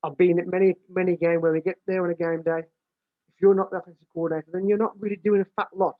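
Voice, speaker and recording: A man's voice: sits high, level tone, fast talker, no room sound. A British man with a big voice, a football coach explaining how he develops his staff in plain, casual talk, often restarting and repeating words. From United Kingdom. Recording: dry, tinny phone-like line.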